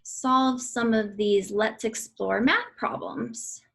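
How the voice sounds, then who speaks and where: A woman reading aloud an educational book for children in a deliberate, instructional way. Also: a little high, creaky, fairly bright tone, breathy edge. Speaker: read-aloud reader for children.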